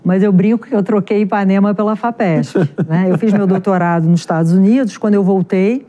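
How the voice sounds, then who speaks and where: A middle-aged woman with a carioca accent from Rio, a genetics researcher answering interview questions in a chatty, animated way with many fillers. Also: medium pitch, brisk. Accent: Carioca Portuguese. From Rio de Janeiro, Brazil.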